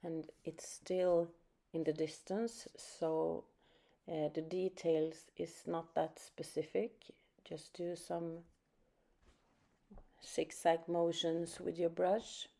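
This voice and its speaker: A woman's voice: fairly low pitch, little pitch movement, slow pace, vocal fry. A Swedish woman with a Swedish accent, speaking English as she gives an unscripted watercolor painting lesson and thinks aloud as she works. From Sweden. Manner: soft-spoken, thinking aloud with fillers. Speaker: art teacher.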